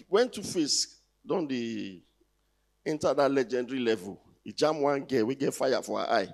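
A man in his thirties with a Nigerian accent doing conversational, teasing stand-up comedy on stage, putting rhetorical questions to the crowd through a handheld mic. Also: mid-range voice. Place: large indoor theatre stage. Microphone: handheld stage microphone.

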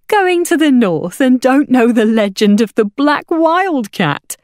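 A woman's voice: fairly high pitch, very animated, unhurried, dry recording, clean studio sound. A woman voicing a friendly female peddler in an audiobook, effusive and grand in her sing-song delivery. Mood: gushing. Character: soft, gushing, friendly woman cart hawker. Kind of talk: audiobook character voice.

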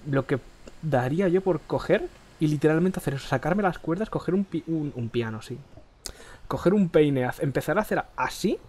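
A man from Spain chatting while he plays, rambling and crude, keeps clearing his throat, speaking into a headset mic. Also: a little high, animated, moderate pace, darkish tone. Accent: Spain Spanish. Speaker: gaming streamer. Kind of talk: casual gameplay chat. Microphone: headset mic close to the face.

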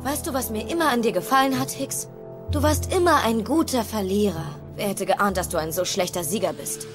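A woman's voice: medium pitch, fairly quick, over music, lightly acted. An actress playing a blunt, reproachful teenage Viking girl in a cartoon audio drama.

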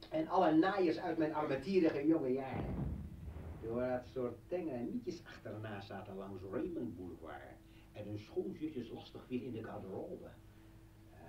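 A volatile, sardonic militant poet in a radio drama. He taunts slowly and theatrically, with big swings in pitch. The recording is dull, set back in an echoey room. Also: very animated, dark tone, distant mic, slight background noise. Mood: bitter brooding. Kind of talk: Dutch radio play acting.

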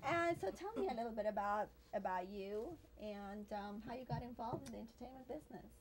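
A woman's voice: on the high side, lively intonation, fairly quick, slight background noise. A woman hosting a studio talk show, introducing a guest in a bubbly way with frequent ums. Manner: bubbly, says "um" a lot. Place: large television studio set.

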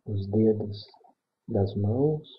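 A Brazilian man leads a relaxation exercise at a very slow, unhurried pace. His voice is husky and breathy, at a medium pitch, with calm gaps between short instructions. The recording is dull and a little muffled. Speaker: guided meditation narrator. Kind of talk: guided sleep meditation, soothing instruction.

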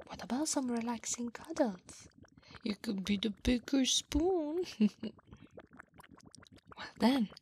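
A woman playing an affectionate, teasing slime-monster wife in an intimate, breathy ASMR roleplay whisper. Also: medium pitch, a little hiss or hum, poor audio. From Italy. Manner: slow, intimate, soothing.

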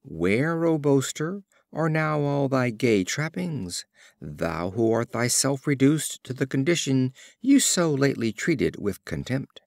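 A man voicing a lowly, philosophical donkey in a storybook fable, with declamatory, old-fashioned rhetoric. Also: expressive, a little slow, vocal fry, darkish tone, dry recording, studio quality. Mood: mocking.

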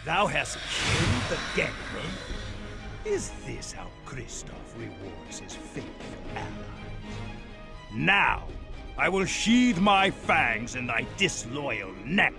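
A raging, haughty vampire lord in a fantasy game, with slow, theatrical delivery. The high voice leaps about in grandiose archaic threats, with music playing behind it. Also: male voice, sing-song, vocal fry, exaggerated voice. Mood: enraged, bitter. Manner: grandiose, menacing, bombastic.

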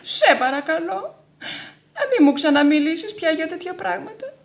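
An actress playing a proudly devoted, stubborn young widow in radio theatre, theatrical, lamenting and imperious. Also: female voice, very high-pitched, animated. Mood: defiant grief. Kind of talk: Greek radio theatre acting.

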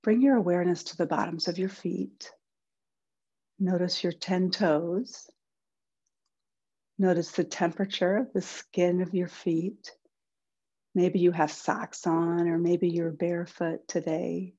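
A woman with a slightly low, soft and breathy voice leads a guided meditation. She speaks very slowly and gently, in a soothing way that leaves room between instructions. The sound is a little dull. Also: little pitch movement. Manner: soothing, gentle, unhurried with pauses. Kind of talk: guided body-scan meditation.

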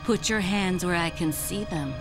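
A woman's voice: lowish voice, normal speed, over music, lightly acted. A woman playing a cool, commanding, guarded female spy in a video game. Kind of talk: video game drama acting.

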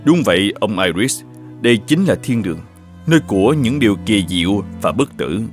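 A man's voice: on the low side, sing-song, normal speed, faint music behind. A man playing a solemn old saint who guards heaven's gate in a cartoon fairy tale, grave and admonishing. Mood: majestic.

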